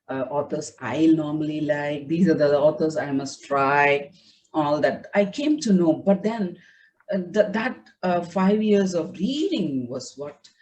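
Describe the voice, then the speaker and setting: Woman, native Tamil speaker, low unhurried voice, halting reflective guest heard from a distance on a video call. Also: dark tone. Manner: hesitant, many fillers and restarts. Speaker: interview guest, writer. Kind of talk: reflective conversational interview.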